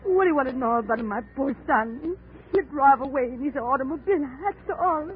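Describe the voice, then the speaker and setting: A woman's voice: high-pitched, animated, fairly quick, a little hiss or hum. An actress playing a heartbroken mother who cries and pleads in a 1940s radio drama. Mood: grieving. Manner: tearful, pleading, broken grammar. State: crying. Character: grieving older mother, heartbroken and tearful. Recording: tinny old 1940s radio recording.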